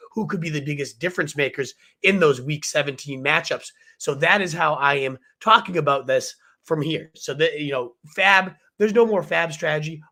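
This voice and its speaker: Hoarse, excitable sports show host. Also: male voice, a little high. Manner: excited, fast-talking hype. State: hoarse from a cold. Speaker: fantasy football show host. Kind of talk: energetic livestream sports advice.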